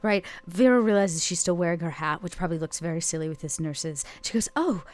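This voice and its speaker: A woman's voice: fairly low pitch, fast. A woman playing a tabletop game, casually narrating her character's actions while thinking aloud.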